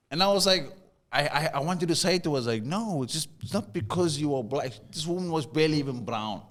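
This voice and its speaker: A man's voice: a little high, animated, brisk. A South African man co-hosting a casual conversation, telling a story and often repeating words as he starts a thought. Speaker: podcast co-host.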